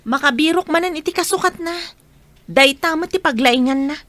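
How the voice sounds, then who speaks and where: A woman's voice: high-pitched, normal speed, a bit bright. A woman playing a proud, hurt and dismissive abandoned wife in a Filipino radio drama. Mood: bitter, dismissive.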